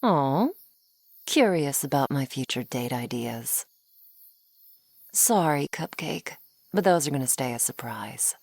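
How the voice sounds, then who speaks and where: A woman's voice: rumbling low, expressive, slow pace, pauses often, darkish tone, no echo, heavily acted. A woman playing a flirty, sardonic female vampire in an ASMR roleplay, taunting the listener playfully up close. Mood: dry, teasing. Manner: sardonic, playful, taunting, with pet names and sighs.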